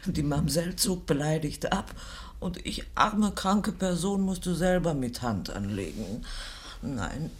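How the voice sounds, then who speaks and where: An old clergyman's wife in a radio play. She takes her time over every phrase, speaking very slowly with fond teasing and matter-of-fact firmness. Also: sits low, expressive. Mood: mock-plaintive. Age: older. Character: elderly provost's wife, fondly teasing yet firm. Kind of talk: German literary radio drama acting.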